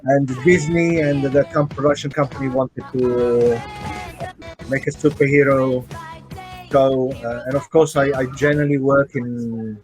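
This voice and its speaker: A man with an Italian accent talks casually on a video call, answering fan questions and pausing often as he searches for words. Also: medium pitch, steady tone, a little mellow, faint music behind, poor audio. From Italy. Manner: halting, searches for words in a second language. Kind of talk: casual online Q&A.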